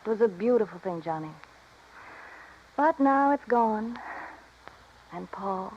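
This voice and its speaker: Coy radio femme fatale, dull recording. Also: female voice, medium pitch, animated, takes it slowly, slight background noise. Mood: wistful, halting. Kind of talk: 1950s radio drama acting.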